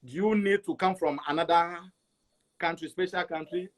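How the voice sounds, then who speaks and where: Higher-voiced Togolese man telling his story. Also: normal speed. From Togo. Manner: repeats words for emphasis, acts out quoted dialogue. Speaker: interview guest. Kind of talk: animated personal storytelling.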